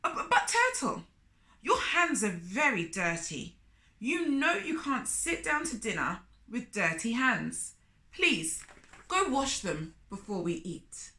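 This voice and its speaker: Storybook spider played by a woman: male, greedy and sly, bright, swooping delivery, falsely polite scolding. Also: fairly high pitch, very animated, takes it slowly, roomy sound, heavily acted. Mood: fussy, scolding. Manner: feigned politeness, stammering excuses. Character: greedy, selfish spider, male, slyly scolding his guest.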